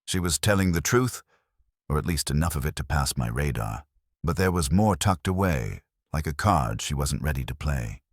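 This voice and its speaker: Extremely deep-voiced man narrating detective fiction. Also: expressive, moderate pace, no room sound, studio quality. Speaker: audiobook narrator. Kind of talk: scripted first-person hardboiled detective narration.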